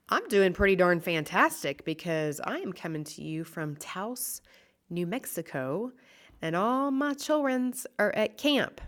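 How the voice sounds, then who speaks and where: A woman hosting her own podcast, chatting in a bubbly, upbeat way. She is stuffed up and a little raspy from allergies and is recording in a large room with stucco walls. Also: medium pitch. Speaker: podcast host, nutritionist. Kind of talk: casual solo podcast talk.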